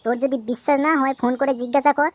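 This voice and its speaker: Brash cartoon schemer, woman acting, high and rapid, coarse, argumentative comic delivery. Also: little pitch movement, lightly acted. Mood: pushy. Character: brash cartoon trickster and naive shopkeeper's child. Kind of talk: Bengali comedy cartoon voice acting.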